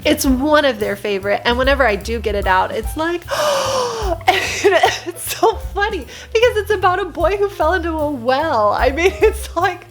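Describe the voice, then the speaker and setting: A woman's voice: high-pitched, lively intonation, moderate pace, soft background music. A woman chatting casually and expressively, recommending picture books like an enthusiastic preschool teacher.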